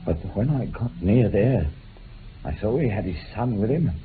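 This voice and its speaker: An actor playing a remorseful, dying old former bushranger in a radio drama, making an impassioned, halting confession. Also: male voice, fairly low pitch, expressive, lightly acted. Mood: desperate. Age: older. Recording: muffled phone-like old radio recording, hiss.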